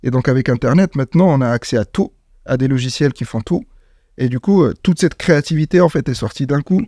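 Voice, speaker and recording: A man's voice: mid-range voice, quick pace, dark tone, dry recording, studio quality. A man from Morocco, a startup founder, speaking in a casual interview, hesitant with many fillers and restarts.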